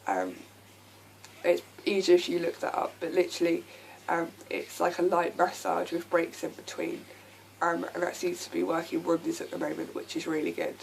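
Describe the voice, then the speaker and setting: Give me a croaky young woman with a slightly low voice, talking hesitantly to camera over faint hiss. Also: moderate pace, poor audio. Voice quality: croaky from a lasting sore throat. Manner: hesitant, many ums, candid and personal. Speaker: vlogger. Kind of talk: casual talk to camera.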